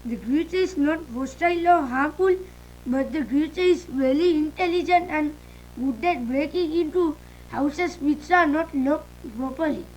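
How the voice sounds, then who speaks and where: Woman, high pitch, halting announcer delivery, amateur scripted bulletin, some background noise. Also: darkish tone, poor audio. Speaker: announcer in a fictional emergency broadcast.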